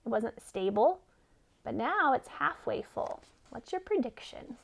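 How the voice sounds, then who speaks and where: Woman doing a kids read-aloud, high voice, takes her time, really expressive, teacher style. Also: a little slow, gravelly, a little hiss or hum. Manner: expressive, emphatic storytelling. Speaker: storyteller and teacher. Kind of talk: children's read-aloud with questions to listeners.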